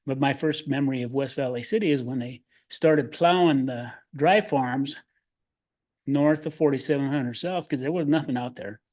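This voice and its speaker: A man's voice: mid-range voice, a little mellow. Rambling, folksy older man. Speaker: public commenter, local resident. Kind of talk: casual reminiscing public comment. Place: city council chamber.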